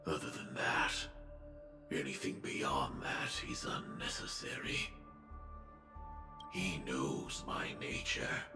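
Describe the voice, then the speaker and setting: An actor playing a solemn armored warrior in a video game, speaking gravely and formally with a deep, gravelly voice. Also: male voice. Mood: cold, grave. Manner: slow, grave, declamatory. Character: solemn armored male warrior devoted to destruction. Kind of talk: dramatic video game voice acting. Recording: echoey, game music behind. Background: music.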